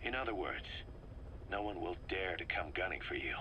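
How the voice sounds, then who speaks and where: Game role: fervent mercenary deputy. Low, hard-edged, persuasive delivery, slight background noise. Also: male voice, animated, moderate pace, pushed and bright. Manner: persuasive, emphatic, speechifying. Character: fervent, embittered male mercenary second-in-command briefing his boss. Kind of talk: video game dramatic dialogue. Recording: noisy cassette briefing with background rumble.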